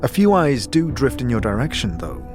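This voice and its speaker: A man narrating a dark fantasy audio drama in the second person, with measured, solemn, dramatic storytelling. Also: medium pitch, big pitch swings. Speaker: narrator and game master. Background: music.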